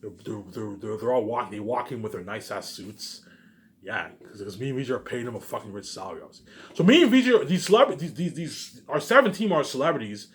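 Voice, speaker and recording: A man's voice: medium pitch, very animated, quick pace. A man giving an informal, rambling monologue, repeating himself and restarting phrases, with emphatic tag words. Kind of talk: rambling informal monologue about personal plans.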